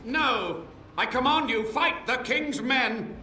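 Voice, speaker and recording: A man's voice: very high-pitched, lively intonation, slow, pushed and bright, full character voice. A man playing a desperate, commanding mage in a fantasy video game, declaiming theatrically. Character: intense male blood mage archivist, desperate and commanding. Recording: echoey distant game scene with music.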